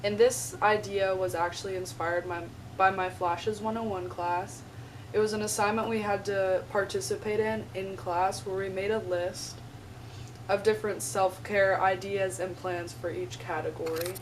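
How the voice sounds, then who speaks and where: A young woman, a college student, talking casually in a vlog, a bit raspy from a sore throat and filling her speech with "like" and "um". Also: medium pitch, little pitch movement, normal speed. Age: young adult. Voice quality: chatty, lots of "like" and "um". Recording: slightly distant mic with faint noise.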